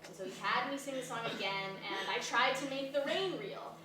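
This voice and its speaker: A woman's voice: a little high, a little fast, lightly acted. A woman acting a flighty, blunt young dropout in a staged comedy, rambling and hesitant in a naturalistic way. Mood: earnest, rambling. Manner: rambling, hesitant, filler-laden. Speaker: stage actor in a staged reading. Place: live staged reading in a reverberant room. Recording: echoey room from far off, noisy.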